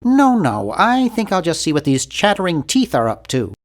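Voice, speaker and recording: A man's voice: high voice, wildly swooping pitch, moderate pace. A man voicing a nerdy, timid, fussy young hero of a cartoon adventure game, with a prim, wry, bookish delivery. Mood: feigned excitement. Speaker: game character voice actor.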